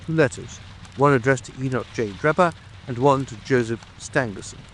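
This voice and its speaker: A man's voice: mid-range voice, normal speed, slight background noise, lightly acted. A man playing a proud, easily offended Victorian police detective in a dramatic audiobook, matter-of-fact and defensive. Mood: businesslike. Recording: steady rain ambience over voice. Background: rain.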